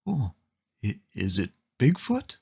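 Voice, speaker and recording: A man's voice: medium pitch, huge pitch swings, slow pace, gravelly, darkish tone, slightly rough, muffled recording, exaggerated voice. A man voicing a curious, nervous young male cat in a children's storybook, asking things in a wide-eyed way. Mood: nervous wonder.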